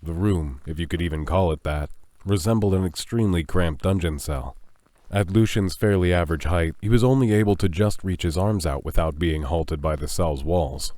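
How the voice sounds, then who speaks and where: A man with a low-pitched voice narrates a dramatic audio story. He reads the script in a measured way, building suspense as a storyteller would. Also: warm tone, no room sound.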